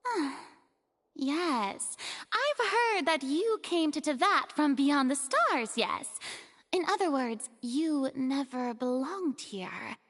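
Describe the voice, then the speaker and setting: A woman's voice: high voice, lively intonation, fairly bright tone, long reverb tail, exaggerated voice. A woman playing a proud, theatrical young female god of justice in a video game, hiding a burden. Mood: somber. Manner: theatrical, haughty, dramatic pauses.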